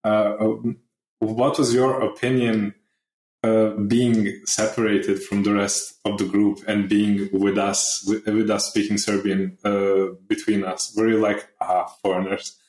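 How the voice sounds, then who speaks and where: Low-voiced stammering man in an echoey room. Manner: animated, stammering restarts, quick to laugh. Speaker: podcast guest and regular. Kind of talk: casual podcast chat.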